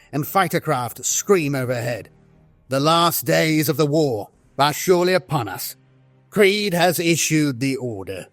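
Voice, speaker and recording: A grave veteran officer, acted by a man in an audio drama, narrates his war journal with formal solemnity. His medium-pitched voice is measured and recorded dry, with faint music underneath. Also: no room sound, close to an everyday voice.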